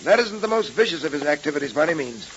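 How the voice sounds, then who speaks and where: A man's voice: a little high, sing-song, racing, fairly bright tone. An American man playing a resolute, principled police detective in a 1930s radio serial, with earnest, formal phrasing. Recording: muffled, hissing old radio recording.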